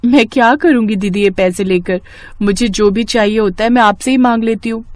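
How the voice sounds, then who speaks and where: A woman's voice: medium pitch, fairly quick, no echo, natural voice. A woman voicing a trusting, easily hurt younger sister, a college student, in an earnest, emotional manner for a story cartoon. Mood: affectionate. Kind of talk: Hindi moral-story cartoon dialogue.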